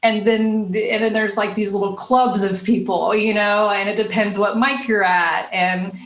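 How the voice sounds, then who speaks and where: A woman's voice: medium pitch, steady tone, normal speed, a bit bright. A woman from Nashville whose faint Southern accent still slips through as she talks. She rambles casually, circling back to her points and leaning on "you know" and "like." Her voice sits in a mid range, and the room around her rings with plenty of echo. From Nashville, Tennessee. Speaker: guest comedian. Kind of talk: casual interview chat. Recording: roomy echo.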